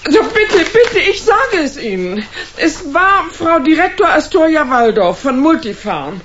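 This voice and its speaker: A woman's voice: high voice, sing-song, unhurried, a bit bright, dull audio, a little hiss or hum, full character voice. A woman playing a timid, frightened small-time actress in a radio drama, anxious, hesitant and pleading. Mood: pleading, distraught.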